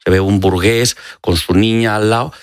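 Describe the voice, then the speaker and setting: Low-voiced Spanish man explaining as an expert. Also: fairly even intonation, moderate pace, no echo, clean studio sound. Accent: Spanish from Spain. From Extremadura, Spain. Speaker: interview guest, doctor and ophthalmology professor. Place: radio studio.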